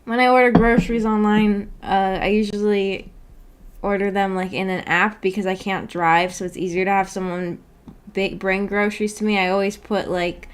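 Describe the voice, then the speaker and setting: A woman's voice: mid-range voice, flat delivery, normal speed, clear, resonant tone. A woman streamer chatting casually with viewers, rambling and correcting herself as she goes. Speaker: streamer hosting a book club chat. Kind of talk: casual livestream chat, reading and answering comments. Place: indoors at home, upstairs room.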